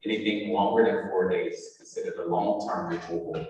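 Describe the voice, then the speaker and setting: A man with a low voice delivers a formal presentation in the manner of a school administrator explaining policy. He sounds far from the microphone, and his words ring through a large, very reverberant meeting room. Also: flat delivery, fairly quick, darkish tone, slight background noise. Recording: very echoey, reverberant hall, distant mic.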